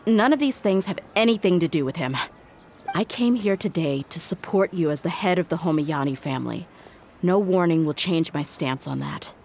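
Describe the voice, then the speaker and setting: A woman's voice: lowish voice, lively intonation, moderate pace, a little mellow, slight background noise. An actress playing a blunt, independent young female mercenary who speaks directly and firmly, with brief hesitations. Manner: direct, firm, a little hesitant. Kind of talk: video game voice acting.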